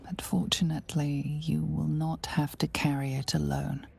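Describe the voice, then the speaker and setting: An actress playing an omniscient game narrator, solemn and intimate, with a husky, breathy delivery that often nears a whisper. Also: female voice, deep voice, level tone, darkish tone, heavily acted. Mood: hushed, solemn. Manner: slow, measured, solemn. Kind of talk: dramatic second-person game narration.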